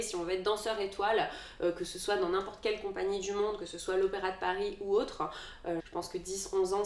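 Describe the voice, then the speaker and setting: A woman's voice: mid-range voice, echoey room, recorded from a distance. A woman in her thirties answering viewer questions in a casual vlog, hesitant and full of fillers. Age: 30s. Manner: hesitant, many fillers and restarts. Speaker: dance vlogger.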